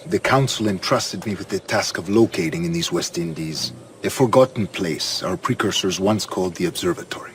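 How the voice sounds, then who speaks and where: An actor playing an authoritative older governor and scheming grandmaster in a video game, with a Spanish accent and a measured, formal, grandiose delivery. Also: male voice, sits low, a little hiss or hum, close to an everyday voice. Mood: solemn, zealous. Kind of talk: dramatic video game acting.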